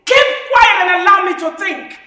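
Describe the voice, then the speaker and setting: Sharp, defiant Nigerian mother. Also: very high voice, animated, moderate pace, exaggerated voice. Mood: exasperated. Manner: confrontational, repeats challenging questions. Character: defiant, cold-hearted widowed mother, sharp-tongued. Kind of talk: Nollywood-style family drama acting. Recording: roomy, distant mic, faint music.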